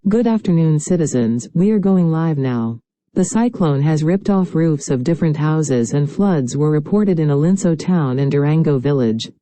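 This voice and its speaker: A woman's voice: deep voice, animated, moderate pace, mellow. A woman reading a scripted news bulletin in a formal manner. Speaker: news announcer.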